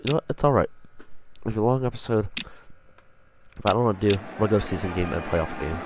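A man with a stuffy voice from a cold, commentating his own video game play and getting excited on big plays. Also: lowish voice, fast talker, heavy background noise. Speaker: gaming channel host.

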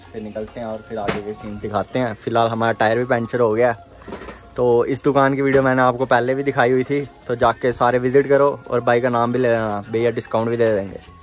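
A man chatting informally to viewers as a travel vlogger, calling them bro. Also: medium pitch. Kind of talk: casual vlog chat to camera.

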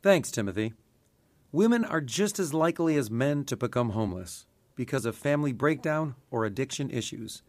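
A man speaks as a mission president in a scripted radio appeal. His delivery is measured and explanatory, in a mid-pitched voice with a very dry studio sound. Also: expressive, a little mellow, no room sound.

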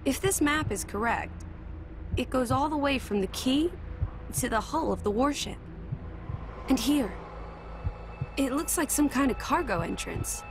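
An actress playing a gentle, earnest young woman companion in a game, with a soft, caring manner. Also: high voice, expressive, unhurried, long pauses, background noise. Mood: thoughtful. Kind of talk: video game cutscene acting. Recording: music behind the voice.

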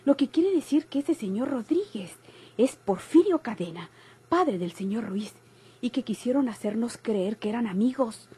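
Radio-play daughter: young, Mexican, inquisitive, animated, soft-toned and lightly high. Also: female voice, normal speed, husky. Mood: breathless, confiding. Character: excitable, nosy young daughter telling secrets. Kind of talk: golden-age Mexican radio drama acting.

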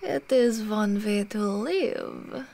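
A woman's voice: mid-range voice, very slow, creaky, dark tone. A woman playing a mysterious, mercenary Russian in an audio drama, with a Russian accent and a cool, cryptic, terse manner. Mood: cool, intrigued.

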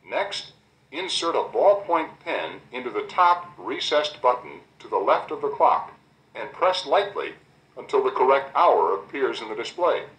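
An American man reading a scripted instructional guide, addressing the listener directly in a clear, explanatory way, on an old cassette recording. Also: medium pitch, big pitch swings, unhurried, a bit bright. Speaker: instructional narrator. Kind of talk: scripted car owner's guide narration. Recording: roomy replay of 1990 cassette tape.

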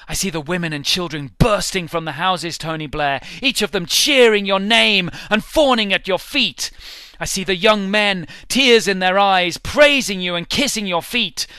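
Bright-voiced man giving a dramatic reading. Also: high-pitched, clear, resonant tone, dry recording. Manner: theatrical, intense, deliberate. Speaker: amateur reader. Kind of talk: dramatic reading of satirical prose.

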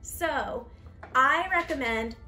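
Bright, high-voiced young woman. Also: slow, soft background music. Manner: chatty and upbeat, talks while preparing food. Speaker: behavior analyst. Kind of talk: cooking demo with tips. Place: home kitchen, indoors.

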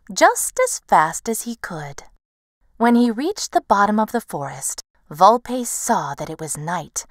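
A woman storyteller narrating a bedtime tale in a gentle, measured manner. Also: fairly low pitch, no echo, studio quality. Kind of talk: slow bedtime storytelling in an animated game.

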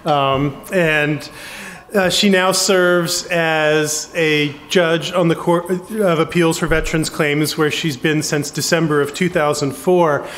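A middle-aged man with a somewhat high voice introduces a keynote speaker from a lectern. He is brisk and modest and keeps his remarks short. He speaks into a lectern microphone in a conference hall. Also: steady tone, a bit bright, slight background noise. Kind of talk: formal introduction.

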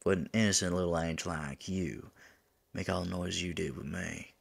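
A man playing a teasing, flirtatious demon lover in an intimate ASMR roleplay, with a drawling manner that drops into breathy murmurs. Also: deep voice, fairly even intonation, moderate pace, full character voice. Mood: seductive. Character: teasing, flirtatious male demon lover, smug yet smitten.

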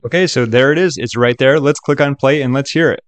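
A man talks through a software tutorial in a casual, chatty way. His voice sits at a middling pitch, and the sound is close and dry. He keeps an upbeat tone as he explains each step. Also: fairly quick, no room sound. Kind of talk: casual screen-recording walkthrough.

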